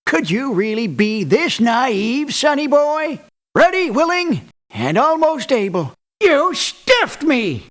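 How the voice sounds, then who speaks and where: A man's voice: very high voice, a bit bright, exaggerated voice. A man voicing a crusty, condescending old war veteran mercenary in a video game, with a folksy, patronizing delivery. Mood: indignant, grumbling. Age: older. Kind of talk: video game character voice lines.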